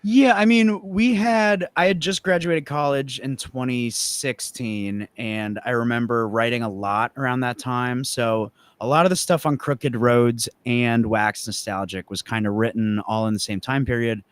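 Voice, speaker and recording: A man's voice: medium pitch, unhurried, few pauses, no echo. A young man from the United States, a musician chatting casually as an interview guest and reminiscing in a laid-back, rambling way. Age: young adult. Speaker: musician, band frontman being interviewed.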